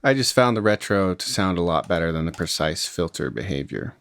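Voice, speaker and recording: A man with a fairly low voice walks through a software tutorial step by step. He sounds informal and explanatory, and the recording is close and dry. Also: lively intonation, a little fast, creaky, clean tone, no echo. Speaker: music production tutorial host.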